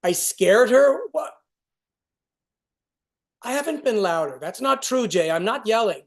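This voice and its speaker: A man's voice: high voice, expressive, unhurried. Man acting an irritable, foul-mouthed father. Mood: defensive anger. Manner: swearing, agitated, argumentative. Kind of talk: staged reading of a play.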